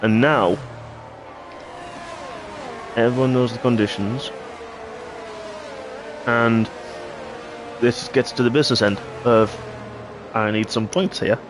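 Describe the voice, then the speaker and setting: A man giving live play-by-play commentary on a sim racing league, hoarse and clearing his throat after losing his voice. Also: medium pitch, slow pace, pauses often, over music. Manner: excitable play-by-play, rising sharply at incidents. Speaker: race commentator.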